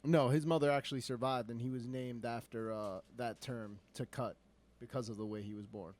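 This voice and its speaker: A young man, the producer of a podcast, with a middle-pitched voice. He reads out his search findings plainly and a little hesitantly. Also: steady tone, normal speed, darkish tone, dry recording. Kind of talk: reading search results aloud.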